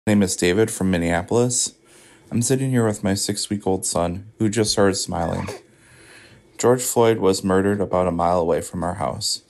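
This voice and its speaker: Solemn low-voiced man, gravelly. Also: normal speed, a little mellow. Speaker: listener sending a voice message. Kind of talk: recorded listener message.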